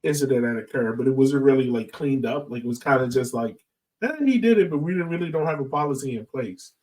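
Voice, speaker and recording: A man with a mid-pitched voice hosts a casual podcast discussion. He talks conversationally with plenty of "like" and "you know". He sounds slightly off the microphone. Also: expressive, fast, a little mellow, far from the mic, rough recording. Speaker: podcast host.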